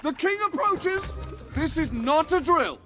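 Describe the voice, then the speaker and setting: An actor playing an officious, nervous bird steward with a pompous, fretful way of talking. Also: male voice, very high voice, expressive, a little slow, over loud music.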